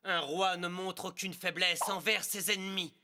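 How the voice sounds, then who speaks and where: An actor playing a scheming, jealous rival prince, a smug and cold villain in a dubbed animated film. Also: male voice, high voice, normal speed, full character voice. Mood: menacing. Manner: menacing, smug.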